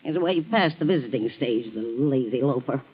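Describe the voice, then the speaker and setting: An excitable housekeeper in a 1940s radio drama, her pitch swinging widely. She is defensive, emphatic and sharp-tongued, and the old recording is muffled and lo-fi. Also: moderate pace, husky, heavily acted. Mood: gossipy, bitter. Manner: defensive, emphatic, easily flustered.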